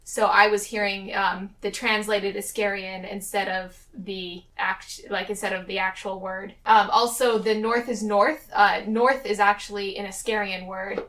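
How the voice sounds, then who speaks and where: A woman's voice: mid-range voice, fairly even intonation, unhurried, few pauses, a bit bright, distant mic. A woman playing a tabletop game, chatting casually and hesitantly as she thinks aloud.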